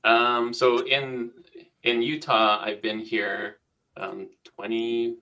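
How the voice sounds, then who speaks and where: A man's voice: mid-range voice, little pitch movement, slow, pushed and bright, low-quality recording. A man in his fifties from Hawaii, chatting casually in a group call, hesitant with many ums. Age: 50s. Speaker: guest panelist.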